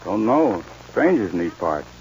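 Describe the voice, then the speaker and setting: An actor playing a bold, resolute young American cowboy with folksy phrasing, in a 1940s radio western. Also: male voice, fairly low pitch, big pitch swings, unhurried, vocal fry, darkish tone, exaggerated voice. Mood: cool, plain. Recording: muffled, hissy 1940s radio recording.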